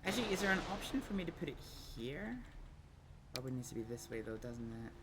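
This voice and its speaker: A Scottish man streaming a city-building game, thinking aloud in a rambling way with many pauses and ums while he chats with viewers. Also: on the high side, very animated, heavy background noise. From Scotland. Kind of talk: casual gameplay livestream commentary.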